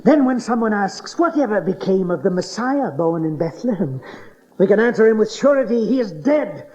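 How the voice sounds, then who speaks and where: A man's voice: high voice, expressive, normal speed, warm tone. A man playing a cruel, paranoid king in a dramatized audio Bible, speaking in an imperious, theatrical way. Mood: cold, menacing. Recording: dull hissy cassette tape.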